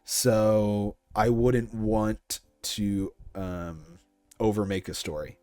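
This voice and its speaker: Young man, slightly low, dry sound. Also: steady tone, extremely slow, a little mellow, no echo, soft background music. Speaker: creator being interviewed. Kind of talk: casual interview chat with YouTube-style sign-off.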